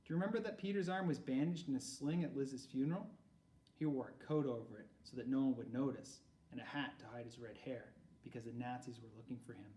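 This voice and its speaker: A man voicing a gentle, grieving storybook mother who explains things sorrowfully. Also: mid-range voice, a little fast, lightly acted. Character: grieving, gentle mother recalling lost young people. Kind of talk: read-aloud storybook character. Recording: roomy echo, far from mic.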